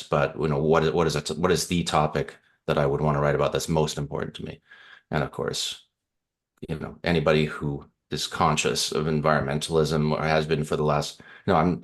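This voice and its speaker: A man from Canada with an extremely low, deep voice talks through his answers in a relaxed interview. He thinks aloud, circling back with fillers and small restarts as he explains himself. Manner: thoughtful, rambling with fillers and restarts. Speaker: author guest.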